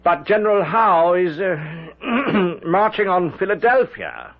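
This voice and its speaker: A man's voice: sits high, sing-song, slow, exaggerated voice. A man playing a dutiful, hesitant army aide in a 1970s radio drama, formal and deferential in manner. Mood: anxious. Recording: dull, noisy vintage radio broadcast with clicks.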